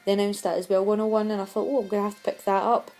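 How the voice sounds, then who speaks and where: A woman's voice: medium pitch, little pitch movement, very fast, a little mellow, soft background music. A young woman who is losing her voice, chatting casually and rambling about films she bought. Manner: chatty, rambling, self-deprecating. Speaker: vlogger showing film purchases. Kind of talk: casual chat.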